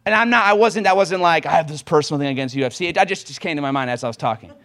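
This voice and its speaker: A man, preaching an impassioned sermon in rapid bursts that swell suddenly louder. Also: a little high. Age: 20s.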